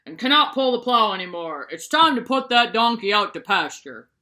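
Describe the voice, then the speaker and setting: A woman's voice: fairly high pitch, very animated, moderate pace, pushed and bright. A woman voicing a blunt male farmer in a children's storybook.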